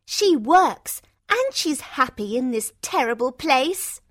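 A woman voicing a spoiled, whiny young sister in a storybook reading, complaining in a high voice. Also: sing-song, slow pace, fairly bright tone, full character voice. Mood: sulky. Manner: whiny and petulant.

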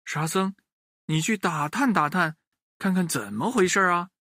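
A man's voice: high-pitched, expressive, sharp tone, dry recording, clean studio sound, pitched above the performer's own voice, heavily acted. A man voicing a kindly, compassionate old monk master in a children's story. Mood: gentle. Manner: slow, solemn, kindly.

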